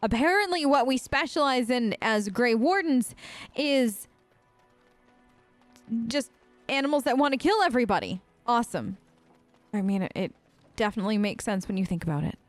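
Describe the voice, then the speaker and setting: A woman's voice: fairly high pitch, animated, moderate pace, pauses often, no echo, faint music behind. A woman hosting a let's play, giving casual, chatty role-play commentary and musing in character.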